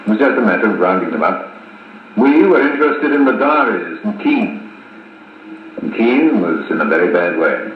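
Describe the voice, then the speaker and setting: A man acting a formal, deliberate, middle-aged colonel narrating grim events in a 1950s radio serial. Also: lowish voice, big pitch swings, muffled recording. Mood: grave, solemn. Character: measured, authoritative British intelligence colonel recounting grim events. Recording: scratchy, echoing 1950s wire recording.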